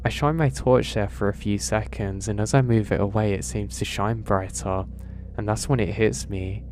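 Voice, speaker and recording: A man with a fairly low voice reads a creepy first-person horror story in a steady, even way. Clear music plays behind him throughout. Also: normal speed. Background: background music.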